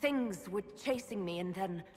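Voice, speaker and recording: A woman's voice: medium pitch, animated, a little slow, darkish tone, close to an everyday voice. A woman playing a bewildered captive in a fantasy video game, shaken but earnest, with a hesitant, questioning manner. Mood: shaken, confused. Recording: long reverberant hall sound.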